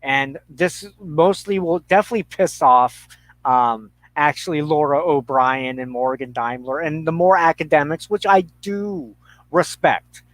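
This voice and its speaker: Slow, thoughtful middle-aged man. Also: on the high side, fairly bright tone, no echo, low-quality recording. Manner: halting, thoughtful, pausing mid-sentence. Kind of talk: reflective conversational discussion.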